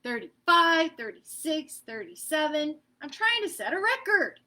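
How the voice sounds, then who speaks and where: A woman doing a focused meatball kid, counting, shushing, bright, storybook. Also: high voice, big pitch swings, measured pace, voice pushed up, exaggerated voice.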